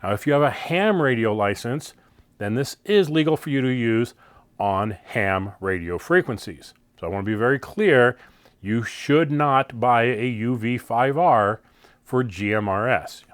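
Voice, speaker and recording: An American man reviews gear in a casual, off-the-cuff style. His voice sits at a middle pitch, with very little room sound around it. He is plain-spoken and conversational, leaning on key words for emphasis. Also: animated, measured pace, no room sound. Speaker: product reviewer, channel host.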